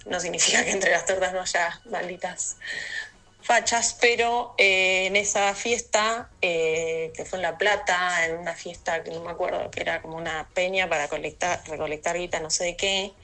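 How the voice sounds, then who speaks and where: An Argentine woman telling a personal story in a recorded message, reflective and hesitant, pausing between phrases. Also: fairly low pitch, steady tone, sharp tone.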